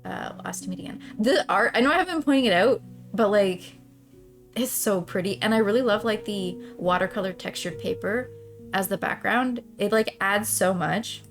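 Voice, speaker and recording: A woman's voice: medium pitch, moderate pace, faint music behind. A woman streamer playing a visual novel, switching between reading narration aloud and casual, informal commentary. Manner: chatty, informal, often trailing off mid-thought. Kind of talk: casual let's-play commentary mixed with read-aloud narration.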